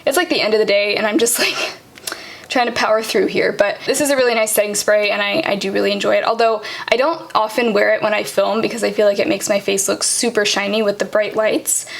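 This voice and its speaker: A woman's voice: mid-range voice, creaky, a little hiss or hum. A young woman talking casually through her makeup, full of ums and likes, her voice tired and cracking at the end of the day. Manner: chatty, many ums and likes. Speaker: beauty vlogger. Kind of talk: casual product walkthrough. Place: home vanity table, indoors.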